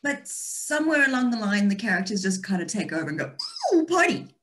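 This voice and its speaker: A woman speaks as an author being interviewed. Her chat is casual, wry and playful, at a medium pitch, over a video call. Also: big pitch swings, brisk, fairly bright tone. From South Africa and Austria.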